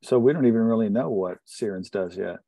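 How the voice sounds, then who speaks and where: A man's voice: lowish voice, a little fast, mellow, dry recording. A man taking part in a tabletop role-playing game as a player, chatting casually.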